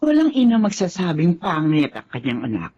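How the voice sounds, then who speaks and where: A woman's voice: fairly low pitch, wildly swooping pitch, normal speed, mellow, husky, heavily acted. A woman playing a caring, reassuring older mother in a radio drama. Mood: gently reassuring. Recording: dull band-limited recording, faint music.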